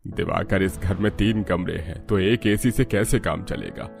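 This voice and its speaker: An older head of household in a family cartoon. His voice is lowish and his manner measured and explanatory, over soft music. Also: creaky. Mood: firm reasoning. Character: older father-in-law, reasoning head of household. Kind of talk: Hindi cartoon story acting.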